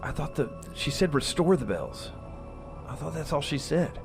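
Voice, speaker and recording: A man's voice: on the high side, expressive, moderate pace, creaky. A man playing a tabletop role-playing game, bantering casually and in character. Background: music.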